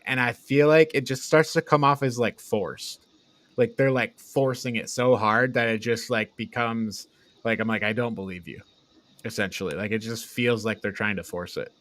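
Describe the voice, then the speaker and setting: Male film-podcast co-host, middle pitch, critical and conversational, very dry close sound. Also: steady tone, normal speed, fairly bright tone, no echo. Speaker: podcast co-host reviewing films. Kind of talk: casual chat, film review.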